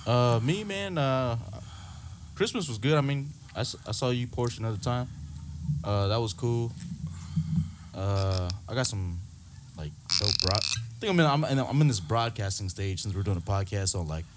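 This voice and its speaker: A man's voice: medium pitch, lively intonation, normal speed, noisy background. A man co-hosting a casual podcast, speaking in a laid-back, slangy, somewhat mumbled way. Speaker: podcast co-host.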